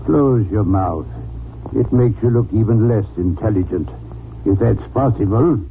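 An actor playing a haughty genius detective, dry, pompous and erudite, in a 1940s radio drama. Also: male voice, on the low side, measured pace. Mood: sardonic. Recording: scratchy, narrow-band old radio.

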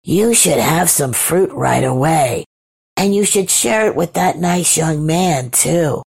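A woman's voice: low voice, expressive, gravelly, breathy edge, full character voice. A woman voicing a sly tempting snake creature in a whispery, coaxing storybook voice. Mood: pleased, coaxing. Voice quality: whispery, hushed. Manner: slow, drawn-out, coaxing.

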